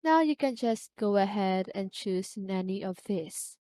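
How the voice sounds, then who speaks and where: A woman presenting a step-by-step software tutorial in an instructional manner, often checking in with a short question. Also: mid-range voice, lively intonation, measured pace, no echo.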